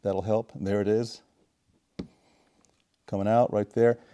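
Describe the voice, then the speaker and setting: Low, mellow man explaining. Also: flat delivery. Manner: deliberate, step-by-step explaining. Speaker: DIY repair demonstrator. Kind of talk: casual hands-on tutorial narration. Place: indoor home workshop.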